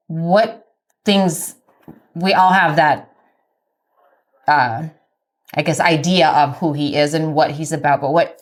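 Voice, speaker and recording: A woman hosting a casual podcast interview in an informal, easygoing conversational way. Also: deep voice. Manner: informal, quick to laugh and agree.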